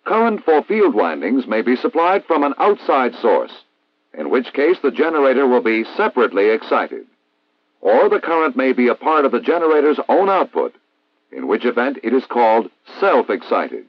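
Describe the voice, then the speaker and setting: An American man reading a scripted instructional narration in a formal, measured, didactic way, as in a 1960s military training film. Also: mid-range voice, very animated. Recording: dull vintage 1960s film soundtrack.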